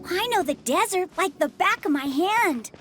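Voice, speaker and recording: A woman's voice: very high voice, animated, normal speed. A woman voicing an eager little village boy in a video game. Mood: proud. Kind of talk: video game cutscene acting. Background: music.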